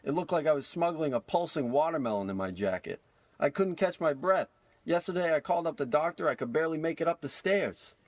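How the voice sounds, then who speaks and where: A man's voice: fairly high pitch, lively intonation, fairly quick, dry recording, close to an everyday voice. A man playing a cranky, manic old miser turned do-gooder in a parody of old radio drama. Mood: sorrowful, confessional.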